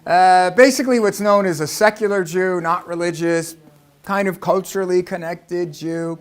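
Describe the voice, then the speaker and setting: Middle-aged American man, higher-pitched, lecturing an audience and posing rhetorical questions. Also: fairly even intonation, moderate pace, clean tone. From Los Angeles, United States. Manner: explanatory, asks rhetorical questions. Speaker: speaker giving a talk.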